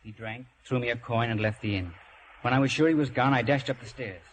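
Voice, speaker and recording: A man's voice: mid-range voice, brisk, a little hiss or hum, lightly acted, low-quality recording. An actor playing an earnest young man who narrates his boyhood adventure in a vintage radio drama. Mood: suspenseful. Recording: old radio broadcast.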